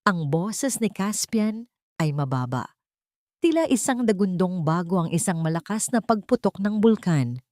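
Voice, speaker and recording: A woman's voice: fairly low pitch, expressive, unhurried, warm tone, no echo, clean studio sound. A woman narrating a scripted story in a dramatic style.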